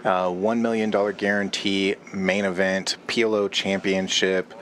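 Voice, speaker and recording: A man's voice: low-pitched, flat delivery, normal speed, a bit bright, slight background noise. A man co-hosting a poker stream, reading out event details in a level, matter-of-fact way. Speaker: poker co-commentator. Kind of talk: commentary and event promotion.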